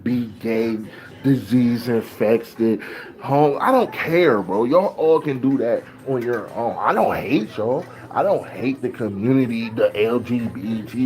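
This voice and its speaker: A man's voice: mid-range voice, a little mellow. A man from Ohio, ranting casually in loose, slangy talk and preaching his views. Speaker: beatmaker talking to camera. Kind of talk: casual rant.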